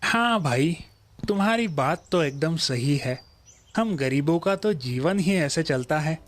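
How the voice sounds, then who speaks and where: A man's voice: a little high, expressive, a little slow. A man playing a friendly, sympathetic poor villager in a cartoon moral story, speaking familiarly to a workmate. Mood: friendly, resigned.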